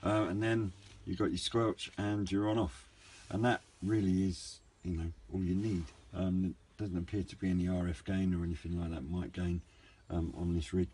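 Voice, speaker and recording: A man giving a casual, unscripted hobbyist product review in a hesitant, low-key, rambling way. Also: little pitch movement, normal speed, hardly any pauses, a little mellow. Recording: clear background noise, room ambience.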